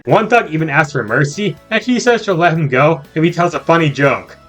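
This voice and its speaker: A man's voice: fairly high pitch, animated, fairly quick, fairly bright tone. A man narrating a scripted video game review as host, with jokey asides. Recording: music under the voice. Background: background music.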